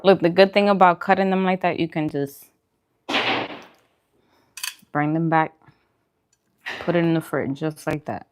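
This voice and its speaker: A woman's voice: sits low, little pitch movement, measured pace, long pauses. A woman chatting casually and playfully with viewers as the informal host of an eating livestream. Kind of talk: casual chat. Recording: clearly noisy background.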